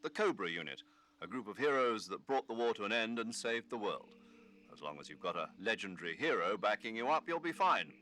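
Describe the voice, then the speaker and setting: An actor playing a commanding army major giving a mission briefing in a video game, crisp, formal and emphatic. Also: male voice, on the low side, big pitch swings, brisk, bright, forceful tone, a little hiss or hum. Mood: hearty, rousing. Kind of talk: video game cutscene acting.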